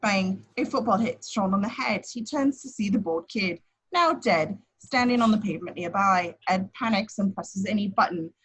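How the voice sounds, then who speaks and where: A woman's voice: mid-range voice, moderate pace, slightly rough, rough recording. A woman reading out scripted action descriptions as narrator at an amateur table read.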